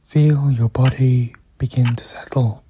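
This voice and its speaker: A meditation guide speaking softly and soothingly, in measured phrases with long pauses and a breath that shows at times. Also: mid-range voice, steady tone, a little hiss or hum. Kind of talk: slow guided meditation narration.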